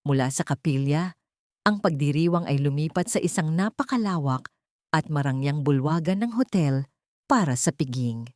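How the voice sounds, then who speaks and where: A woman reading a scripted dramatic love story as narrator, in a measured, solemn manner. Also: sits low, expressive, darkish tone, no echo, clean studio sound.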